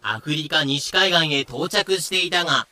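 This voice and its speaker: A man's voice: on the high side, moderate pace, pushed and bright. A man acting as the wry storyteller of a pirate history audio drama, narrating with comic irony. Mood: dramatic.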